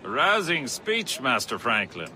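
A man's voice: mid-range voice, wildly swooping pitch, unhurried, background noise. An actor playing a haughty, formal colonial official in a video game, menacing beneath his courtesy. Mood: courteous. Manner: formal, pompous.

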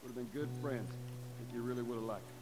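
A man voicing a weary, fatherly middle-aged survivor in a video game, with a Southern US accent and a halting, low-key delivery. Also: fairly even intonation, lightly acted. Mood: wistful. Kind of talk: naturalistic video game dialogue.

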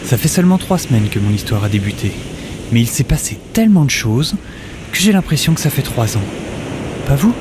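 A man's voice: mid-range voice, big pitch swings, a little fast, noisy background, close to an everyday voice. A man playing an upbeat young hero who tells his own adventure in a casual audio drama, chatting directly to listeners. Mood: warm, reflective. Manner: conversational, addresses listeners directly.

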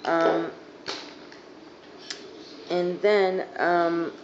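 A young woman with a hoarse, fading voice rambling casually through a makeup haul, full of fillers, filmed on a phone on her living room couch. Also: on the low side, little pitch movement, extremely slow. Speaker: beauty vlogger. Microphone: phone camera at arm's length. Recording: dull lo-fi phone audio, faint hiss.

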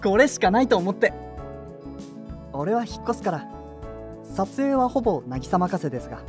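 A woman's voice: mid-range voice, very animated, moderate pace, natural voice. A woman playing an earnest, polite teenage boy in a Japanese audio drama. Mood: sincere. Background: background music.

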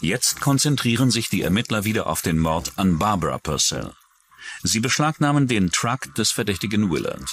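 A man's voice: lowish voice, big pitch swings, normal speed, fairly bright tone. A man narrating a scripted true-crime documentary as its narrator. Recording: music bed under the voice. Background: music.

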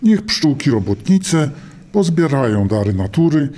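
An actor playing a wise, folksy village herbalist in an audio drama. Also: male voice, on the high side, big pitch swings, measured pace, dark tone. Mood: advising.

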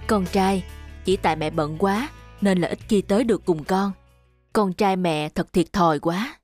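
A woman voicing a tender, hardworking mother in an animated tale, soft and reassuring. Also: medium pitch, normal speed, soft background music, natural voice. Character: tender, hardworking, self-sacrificing mother of a schoolboy. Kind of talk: animated fairy-tale dubbing.

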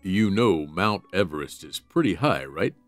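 A man plays a big, world-weary cartoon guard dog with an extremely low voice. He is gruff and protective, with dry sarcasm and deadpan delivery that still swings widely in pitch. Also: normal speed, soft background music. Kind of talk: animated comic dub acting.

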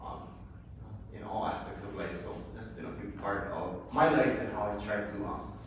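A man speaking as a panelist at a discussion, soft-spoken with frequent fillers, into a handheld microphone. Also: on the low side, moderate pace, slight background noise. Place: large hotel meeting room. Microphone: handheld mic, recorded by a far camera. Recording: echoey hall from far off.

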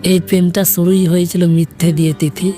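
A sincere, wounded young man in love, played by a voice actor, with a mellow, soft voice. He speaks with restraint in a romantic audio drama, over faint music. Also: high voice, level tone, brisk, lightly acted. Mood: resigned. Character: Abhro, quiet, sincere, wounded young male lover. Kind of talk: romantic audio story acting.

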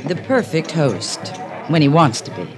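A woman's voice: sits low, very animated, a little slow. An actress playing a sardonic young party girl with a bored, dry manner in a 1950s radio drama. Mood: jaded, wistful. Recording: 1950s radio broadcast with music.

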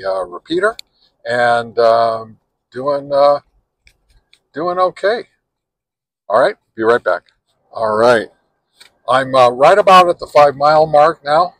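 A man's voice: medium pitch, rough recording. Older man rambling through a radio test. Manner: relaxed, halting, with frequent pauses and restarts; counts off radio test calls in a steady cadence. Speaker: ham radio channel host. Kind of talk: casual radio-testing vlog.

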